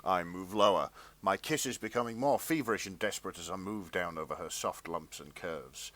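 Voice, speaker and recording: A man's voice: low voice, normal speed, fairly bright tone, no room sound. A man hosting a podcast, reading fan fiction aloud with mock-serious narration.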